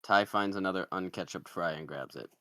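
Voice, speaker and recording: A man's voice: low-pitched, a little fast, sharp tone, dry recording, studio quality. A man narrating the stage directions of a comedy screenplay in a scripted read.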